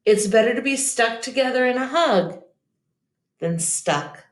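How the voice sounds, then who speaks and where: A woman's voice: on the high side, expressive, a little slow. A woman reads a storybook aloud for children in an echoey room. She takes her time, narrating expressively like a teacher in front of young listeners. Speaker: teacher reading a picture book aloud.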